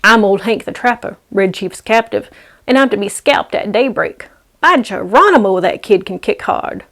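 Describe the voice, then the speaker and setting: A woman's voice: mid-range voice, expressive, moderate pace, natural voice. A woman voicing a battered, folksy male small-time kidnapper in a dramatic story reading. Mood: wry, weary.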